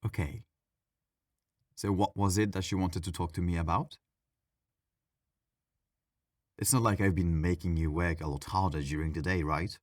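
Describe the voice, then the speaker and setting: A man's voice: low voice, fairly even intonation, a little fast, no echo, studio quality. A man playing a petulant, mischievous ghost who haunts a library, talking in a sassy, casual, complaining way in an ASMR audio roleplay. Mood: defensive.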